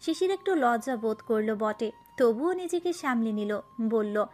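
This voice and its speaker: A woman's voice: fairly high pitch, animated, normal speed, darkish tone, faint music behind. A woman narrating a romantic story from a script.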